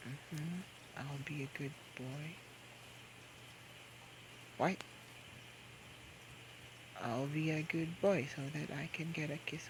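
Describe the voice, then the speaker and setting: A drunk young boyfriend, acted by a man, clingy and playful in an intimate ASMR roleplay. His voice sits a little high and moves very slowly, slurring and coaxing as it stumbles along. The homemade recording has clear background noise. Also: full character voice. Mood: pleading, whiny. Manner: slurred, drawn-out, coaxing, halting drunken talk. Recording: noisy homemade microphone recording.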